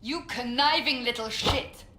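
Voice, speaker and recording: An actress playing a fierce, zealous warrior in a video game, intense and accusatory. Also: female voice, on the high side, a little slow, bright, forceful tone. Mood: furious. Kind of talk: video game character acting. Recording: roomy echo, faint noise.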